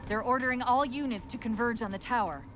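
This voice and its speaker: A woman playing a quick-witted female AI companion in a video game, brisk and analytical with a dry wit. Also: a little high, background noise, close to an everyday voice. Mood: brisk, alert. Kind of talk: video game cutscene acting. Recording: game audio with music behind. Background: music.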